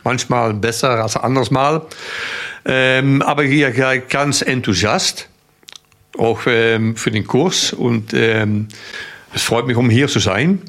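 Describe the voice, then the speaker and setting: A man's voice: medium pitch, slow, a bit bright. A man from the Netherlands with a Dutch accent, a course instructor giving hesitant spoken feedback in a language that is not his first. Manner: hesitant, searching for words in a second language.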